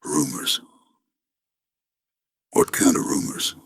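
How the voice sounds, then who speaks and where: A man's voice: slow, no echo, studio quality, lightly acted. A man playing a brooding, womanizing private eye in a noir radio drama, narrating in cool slang. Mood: cool, guarded. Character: Jimmy, brooding, womanizing hard-boiled male noir detective. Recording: loud music under the voice. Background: loud hip hop music.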